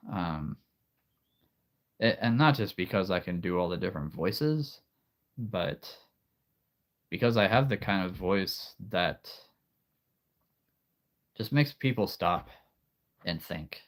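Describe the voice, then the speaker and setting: Male stream host: very deep, unhurried, soothing storyteller voice, rambling and calm, slight allergy throat-clearing. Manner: rambling, with frequent restarts and soft laughs. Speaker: livestream host. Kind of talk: casual live-stream chat.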